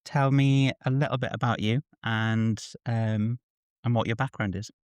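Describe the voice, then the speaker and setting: A man's voice: medium pitch, steady tone, normal speed, clean tone, no room sound. A man hosting a podcast, introducing his guest and asking loose, hesitant questions. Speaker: podcast host. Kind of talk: podcast interview.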